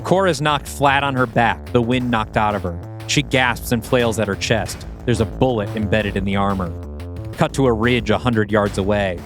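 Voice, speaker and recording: A man's voice: lowish voice, normal speed, faint music behind. A man hosting a podcast, reading scripted scene directions as the narrator of an audio play.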